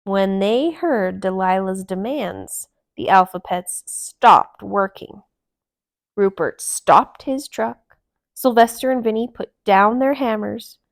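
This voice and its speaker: A woman narrates a picture book for young children. Her pitch is medium and her pace very slow, with expressive, deliberate storytelling phrasing.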